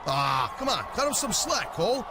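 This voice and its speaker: An actor playing an excitable wrestling color commentator in a video game who argues with his partner. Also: high-pitched, normal speed, noisy background. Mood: excited. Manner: excited, argumentative, many exclamations. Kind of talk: ringside commentary. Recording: loud arena crowd under the commentary.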